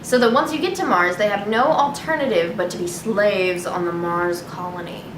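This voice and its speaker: A woman's voice: normal speed, recorded from a distance. A young woman hosting a casual show, reading news aloud with irreverent, sarcastic, sweary commentary. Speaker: host. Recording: echoey room with noticeable rumbling noise.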